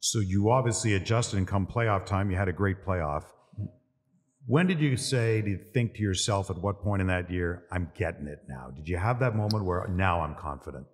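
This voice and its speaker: A man's voice: sits low, normal speed. A man co-hosting a sports podcast, asking questions in a friendly, teasing way. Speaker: podcast co-host. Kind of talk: casual interview chat. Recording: long reverb, echoey room.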